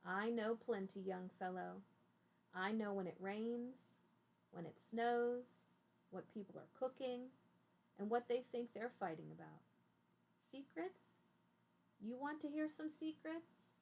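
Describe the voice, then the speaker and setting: A woman's voice: on the high side, slow pace, gravelly, darkish tone, exaggerated voice. A woman voicing a bossy, knowing blind man in a read-aloud storybook, snappish and challenging. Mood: boastful, intense.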